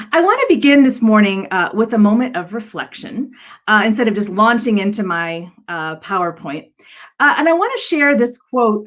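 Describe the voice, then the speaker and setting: A woman giving an earnest slide presentation on a video call as a director, with a hesitant delivery. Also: mid-range voice. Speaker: adult education director presenting. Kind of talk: virtual slide presentation to an audience. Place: virtual event on video call.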